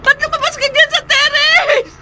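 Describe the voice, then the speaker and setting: A woman's voice: extremely high pitch, level tone, normal speed, very noisy. An actress playing a terrified, hysterical girlfriend who pleads and shrieks in a horror radio drama. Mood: hysterical alarm. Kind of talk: melodramatic horror radio acting.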